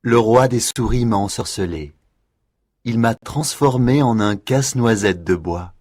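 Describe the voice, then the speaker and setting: A woman voicing a brave young prince, an enchanted nutcracker, in a storybook reading, with a low, slow, solemn delivery. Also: a little mellow, no echo, studio quality.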